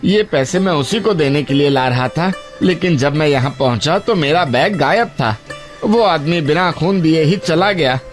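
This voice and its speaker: Guy doing rich shopkeeper dad in a cartoon story, earnest n emotional, slightly high voice, light music under it. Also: expressive, moderate pace, natural voice. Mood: distraught. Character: wealthy merchant, worried father, grateful employer. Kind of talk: animated moral story acting.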